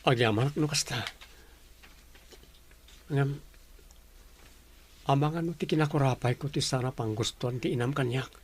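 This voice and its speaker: A man's voice: medium pitch, normal speed, pauses often, breathy edge, close to an everyday voice. A man playing a humble, self-doubting young boyfriend in a radio drama, polite and earnest. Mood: humble, downcast. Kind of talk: Ilocano radio drama acting.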